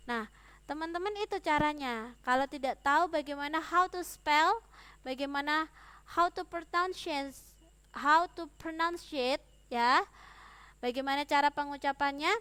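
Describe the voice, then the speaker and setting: A very high-voiced woman with an Indonesian accent, recorded dry with little room sound. She teaches in an informal, explanatory way, addressing her students directly. She slips English terms into her explanations and keeps checking in with short tags as she goes. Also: pushed and bright, no echo.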